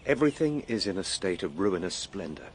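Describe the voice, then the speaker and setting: An actor playing an earnest, excitable Victorian time traveller in a radio play, speaking in a formal, declamatory way. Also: male voice, low-pitched, brisk, a little hiss or hum. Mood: curious, observant. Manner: declamatory, formal, spoken as a live log. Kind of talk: dramatised radio play monologue.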